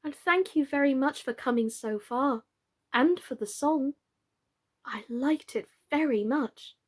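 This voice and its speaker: A woman voicing a polite, eager, excitable young girl in a storybook, with a wide-eyed, expressive delivery. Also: high voice, unhurried, pitched above the performer's own voice.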